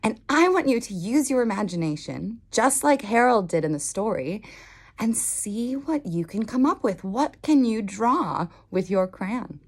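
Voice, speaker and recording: Female storytime host for young kids, unhurried, highly animated delivery. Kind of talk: expressive picture-book read-aloud for children.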